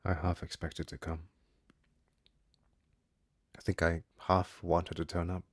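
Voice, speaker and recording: An actor playing a brooding, guarded musician with a breathy, husky voice in an introspective audio drama. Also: male voice, deep voice, little pitch movement, slow, no echo, studio quality. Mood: reflective, weary. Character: brooding, guarded male musician leaving his lover.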